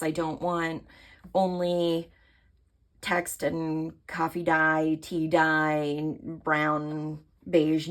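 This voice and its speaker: A woman with a hoarse voice from allergies, chatting casually to camera about her art process and thinking aloud with many fillers. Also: lowish voice. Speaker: artist vlogger.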